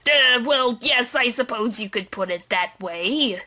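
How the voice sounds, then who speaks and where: A woman playing a crotchety old lady guard, high-pitched. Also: animated, unhurried, heavy background noise. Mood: flustered. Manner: crotchety, folksy, scolding. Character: feisty, crabby elderly female studio security guard. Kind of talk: amateur fan-dub game acting. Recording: music playing behind the voice.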